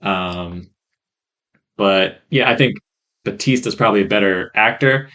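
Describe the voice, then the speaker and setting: A man with a fairly low voice chats casually as a podcast co-host. He gives opinions in a halting way, and the microphone sounds rough and faulty. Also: pushed and bright.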